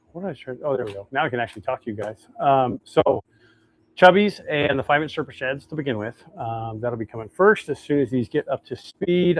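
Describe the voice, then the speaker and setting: Folksy, easygoing American man. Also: medium pitch. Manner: folksy, easygoing, thinks aloud while working. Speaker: host of a fishing lure making livestream. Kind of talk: casual live demonstration with chat to viewers.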